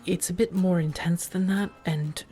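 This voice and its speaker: A woman playing a protective, worldly vampire roommate in a soft-spoken ASMR roleplay, speaking in measured, halting phrases that sometimes drop toward a whisper. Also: fairly low pitch, steady tone, lightly acted. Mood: gentle, amused. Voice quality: soft, breathy whisper at times. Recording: music under the voice.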